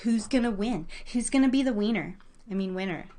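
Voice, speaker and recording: A woman's voice: mid-range voice, brisk, warm tone. A woman hosting a casual live trivia stream, chatting informally and slangily with her listeners. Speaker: live show host running a trivia quiz.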